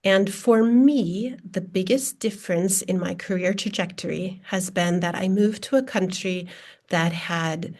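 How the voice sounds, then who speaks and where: A woman's voice: on the low side, a little slow, darkish tone. A woman from Minnesota answering a question as a conference panelist over a video call, candid and self-deprecating. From United States (Minnesota).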